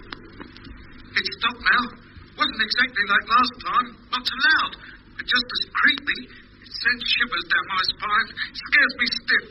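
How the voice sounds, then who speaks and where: An actor playing an excitable astronaut talking over a spacesuit intercom in a 1950s radio drama. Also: male voice, extremely high pitch, slow. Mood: alarmed. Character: excitable astronaut on a lunar expedition. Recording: noisy vintage radio intercom sound.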